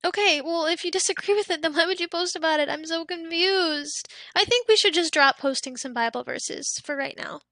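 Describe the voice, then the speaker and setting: A young woman streamer chatting casually with her viewers and reading out chat, her voice a little worn from losing it, often dropping into soft whispery asides. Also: very high-pitched, fairly quick, hardly any pauses, no echo, studio quality. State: losing her voice, throat a bit off.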